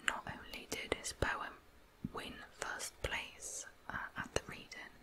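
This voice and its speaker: A host telling true crime stories for ASMR in a breathy, unvoiced whisper. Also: poor audio.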